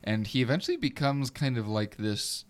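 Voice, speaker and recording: A man's voice: medium pitch, sing-song, normal speed. A man in a casual film discussion, conversational and loosely thinking aloud as a co-host.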